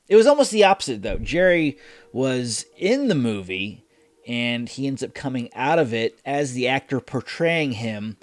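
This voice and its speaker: A man's voice: mid-range voice, no echo. A man hosting a reaction show, talking casually and thinking aloud as he gives rambling commentary.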